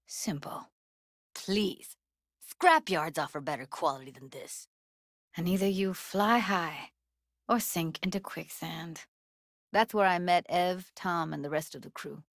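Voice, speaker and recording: A tough young cyberpunk techie, with a slightly low voice in a dry, close recording. She talks in clipped street slang and swears freely, blunt on the surface and tender underneath, in a naturalistic video game style. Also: fairly bright tone, dry recording. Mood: soft, worried.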